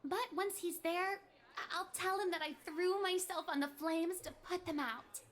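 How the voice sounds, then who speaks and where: A woman's voice: very high-pitched, steady tone, normal speed, fairly bright tone. A woman voicing a lovestruck, scheming young anime girl in a dreamy, theatrical, melodramatic way. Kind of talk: anime parody voice acting.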